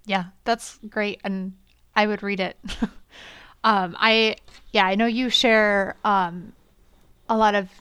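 A woman co-hosting a podcast, asking long, encouraging questions. Her voice is smooth and resonant, recorded close and dry with no room sound. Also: mid-range voice, no echo. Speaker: podcast co-host. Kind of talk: casual podcast interview.

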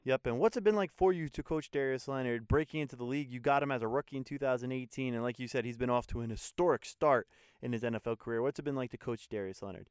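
A young man from New Jersey, hosting a podcast and reading prepared interview questions smoothly. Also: mid-range voice, quick pace, a little mellow, no room sound. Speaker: podcast host.

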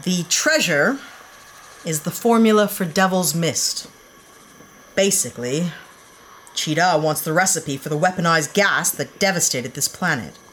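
Lady doing a snarky low-voiced space captain, blunt and snippy. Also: expressive, measured pace, a bit bright, slight background noise. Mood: brisk. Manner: curt and sarcastic, snaps insults. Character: blunt sarcastic woman space adventurer. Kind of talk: audio drama acting.

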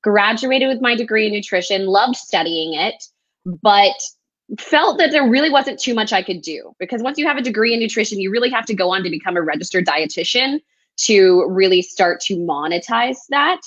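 A woman's voice: mid-range voice, expressive, moderate pace, fairly bright tone, dry recording. Woman from Texas, podcast guest, candid storytelling, dry close sound, slightly muffled. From El Paso, Texas. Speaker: guest, recruiter. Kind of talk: casual interview storytelling.

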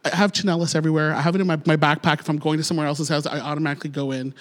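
A man's voice: on the high side, level tone, rapid-fire, fairly bright tone. A middle-aged man from Ontario, co-hosting a podcast in a playful, upbeat casual chat. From Ontario, Canada. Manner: upbeat, playful, laughs often. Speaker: podcast co-host.

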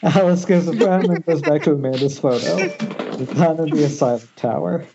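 A man's voice: a little high, moderate pace, vocal fry. A man narrating screenplay stage directions at an amateur table read, now and then stumbling and rereading words. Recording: muffled internet call, faint noise.

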